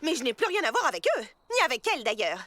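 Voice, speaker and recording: A woman voicing a prim, earnest young female social worker in an animated series, proper and easily indignant. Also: sits high, fast talker, no room sound. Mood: prim, huffy. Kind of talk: French animated series dub. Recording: loud music behind the voice.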